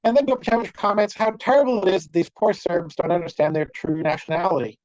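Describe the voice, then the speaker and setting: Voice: medium pitch, quick pace, a little mellow, dry recording. Dry-humoured academic on a muffled call. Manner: wry, dry humour with frequent fillers and restarts. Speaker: conference speaker. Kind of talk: academic lecture. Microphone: computer mic on a video call. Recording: dull, lo-fi video call audio.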